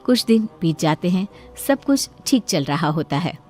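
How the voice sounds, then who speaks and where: A woman's voice: fairly low pitch, very animated, moderate pace, mellow. Woman narrating a story over prominent music.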